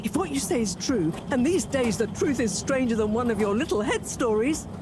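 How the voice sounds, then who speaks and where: An actress playing a brisk, sharp-tongued woman scientist in a video game, theatrical and emphatic. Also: on the high side, expressive, background noise, natural voice. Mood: elated.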